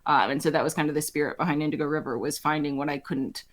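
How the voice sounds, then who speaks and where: A woman architect and firm head, talking in a relaxed interview, thoughtful and with plenty of restarts. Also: fast. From Alaska. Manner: thoughtful, frequent restarts and fillers, laughs lightly; speaks with conviction in a lowered register. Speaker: architect and firm CEO, guest. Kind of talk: casual interview conversation.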